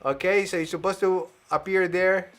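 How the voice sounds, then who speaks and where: Man, game-show host, lively, playful, bit high voice, video call. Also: normal speed. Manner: animated, playful, laughs while talking.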